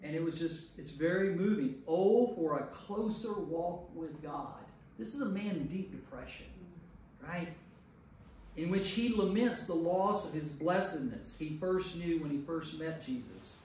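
A man with a fairly high voice leads a Bible study as a priest, speaking to a group. He is emphatic and often repeats a phrase to drive it home. The room is very echoey, and the microphone sits some way off with faint noise. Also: animated, a little slow, darkish tone, recorded from a distance.

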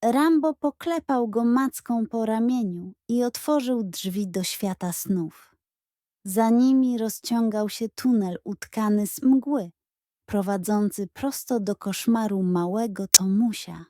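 Wolno opowiadająca kobieta, bajka na dobranoc. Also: female voice, fairly high pitch, slow, dark tone, no echo, studio quality. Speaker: storyteller. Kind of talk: expressive scripted children's bedtime narration.

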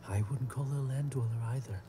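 A man playing an uneasy, curious crewman of explorers in an audio drama, with a husky voice. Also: medium pitch, quick pace, loud music behind the voice, lightly acted.

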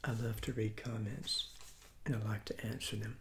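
A man in his sixties, hoarse from a cold, reviewing fast food in a soft, half-whispered ASMR style with many restarts, seated at a table indoors. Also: lowish voice, fairly even intonation, noisy background. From Southeastern United States. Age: 60s. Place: small room at a table, indoors. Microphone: fixed camera mic at close range.

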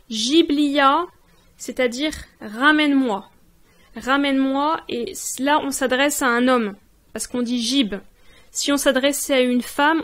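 Very slow-paced woman teaching words. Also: high voice. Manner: repeats each word slowly and translates it. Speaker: language teacher. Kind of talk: slow spoken language lesson. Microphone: voice-over on text slides.

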